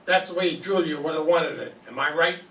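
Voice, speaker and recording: An older man playing a cold, smooth-talking gangster in a radio drama, coaxing and menacing. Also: a little high, a little fast, bright, forceful tone. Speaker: amateur radio drama performer. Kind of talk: live reading of a 1940s radio drama. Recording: echoey room, distant mic, faint noise.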